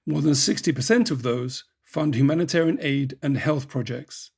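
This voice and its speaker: Husky-voiced male podcast host. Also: medium pitch, normal speed, a little mellow. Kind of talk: scripted narration and interview questions.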